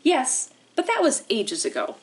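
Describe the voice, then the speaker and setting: Woman reading as high, proud old storybook rock. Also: wildly swooping pitch, unhurried, heavily acted. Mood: reminiscing. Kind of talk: children's storybook read-aloud.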